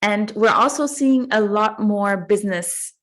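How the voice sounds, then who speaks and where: A woman's voice: mid-range voice, a little slow. A woman hosting a podcast, asking her guest questions in a friendly, easygoing way with many fillers. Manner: friendly, many fillers, speaks in long running sentences. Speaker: podcast host. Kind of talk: casual podcast interview.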